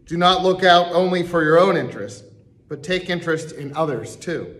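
A middle-aged pastor reads scripture in a church sanctuary, pacing it slowly and leaning on his pauses. His voice sits a bit high for a man. The camera picks him up from a distance. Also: fairly bright tone. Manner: deliberate, slow reading with emphatic pauses. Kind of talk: reading scripture aloud in church.

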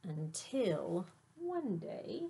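A woman's voice: medium pitch, big pitch swings, gravelly, warm tone, breathy edge. A middle-aged woman reading a picture book aloud like a teacher, dramatically, drawing out words for effect. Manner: dramatic, with drawn-out words and emphatic exclamations. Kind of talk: expressive children's read-aloud. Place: small indoor space with fabric backdrop.

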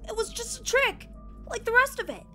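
Woman acting young female cartoon pony, very high voice, animated delivery, brash, blunt, protective, horror story. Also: fairly quick, soft background music, full character voice. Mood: reassuring.

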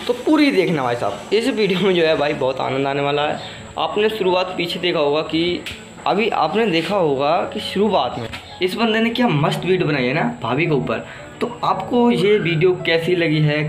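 A young man giving casual vlog commentary to camera, repeatedly pleading with his viewers. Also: fairly high pitch. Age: young adult. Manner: repetitive, pleading appeals to viewers. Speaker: reaction video host. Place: small indoor room. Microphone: phone at arm's length. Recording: echoey room with loud music behind. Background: music.

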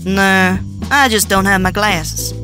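A woman's voice: medium pitch, slow pace, lightly acted. A woman voicing a sassy, exasperated young woman with a blunt, wry manner in a comic dub. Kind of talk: animated comic dub acting. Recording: music behind the voice.

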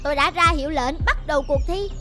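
Woman acting a high, sassy little cartoon bird. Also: fast talker, over music, close to an everyday voice. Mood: announcing. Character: feisty, chatty small female forest birds. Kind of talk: children's cartoon dialogue.

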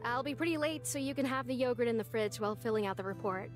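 An actress playing a patient, reassuring park ranger supervisor in a visual novel. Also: female voice, on the high side, quick pace, natural voice. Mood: calm. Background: music.

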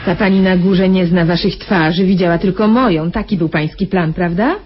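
Radio play, slightly noisy muffled tape: woman to play scheming killer nurse, mid-pitched, syrupy, sly and cold underneath. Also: moderate pace, natural voice. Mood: coolly menacing. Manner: mock-sweet endearments, sly, insinuating. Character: cunning, cold-blooded woman nurse, blackmailer and paid killer.

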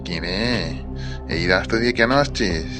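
Man playing a low-voiced menacing cartoon ghost bully. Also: close to an everyday voice. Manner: menacing, taunting, drawn-out threats. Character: fake ghost, bullying masked thug demanding gold. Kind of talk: amateur comic cartoon dub. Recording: music behind the voice. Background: background music.

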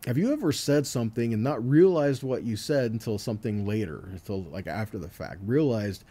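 A man delivers an informal scripture teaching in a relaxed, warm-toned voice. His pitch is lively and varied as he moves between reading and explaining. He talks conversationally, checking in with short rhetorical questions. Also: fairly quick. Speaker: Bible teacher giving a weekly scripture teaching.

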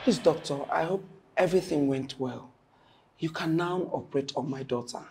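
A woman's voice: low voice, moderate pace, darkish tone. A guilty middle-aged wife and mother in a screen melodrama. She pleads desperately, stumbling and repeating her words over a noisy background. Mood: anxious. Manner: begging, stammering, repeating herself.

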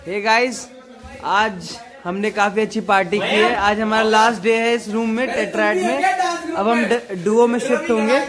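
A young man with a very high voice talks excitedly to his viewers. A crowd of friends can be heard clearly in the background. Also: unhurried. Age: young adult. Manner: excited, addresses viewers as guys. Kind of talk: casual vlog chat.

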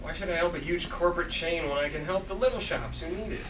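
A young man in a comedy table read playing an excitable, naive coffee shop worker in his early twenties. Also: a little high, fast talker, natural voice. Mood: earnest. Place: small theatre stage, seated before an audience. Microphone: far camera. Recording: echoey, noisy, distant stage recording.

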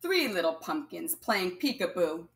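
A woman reading aloud and counting as a primary teacher, addressing young children in an instructional way and pausing for answers. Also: lowish voice, sing-song, gravelly, a bit bright. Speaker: primary school math teacher. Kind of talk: children's read-aloud lesson, counting along.

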